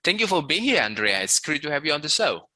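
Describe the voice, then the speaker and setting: A man's voice: on the high side, big pitch swings, fast, sharp tone, no room sound. A man hosting a podcast interview, polite and upbeat as he introduces the guest and asks questions. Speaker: podcast host.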